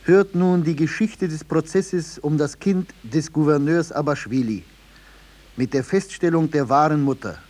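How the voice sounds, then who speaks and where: An actor as the narrator of a 1950s German radio play, telling a parable in a measured, explanatory way. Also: male voice, on the high side, a little mellow. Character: calm storyteller narrating a folk parable.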